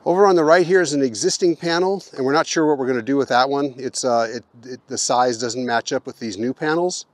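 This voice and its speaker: A man's voice: mid-range voice, brisk, hardly any pauses. A middle-aged man giving casual, folksy how-to narration while doing a DIY job, recorded on a camera mic in an open garage and outdoors. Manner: folksy, plain-spoken instructions. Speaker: DIY vlogger.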